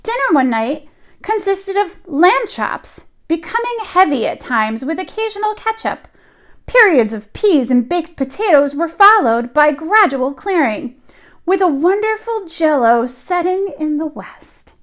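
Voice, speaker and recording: A woman with a high voice reads a picture book aloud to young children. She sounds like a teacher at storytime, shaping each phrase with deliberate expression. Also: big pitch swings, fairly bright tone.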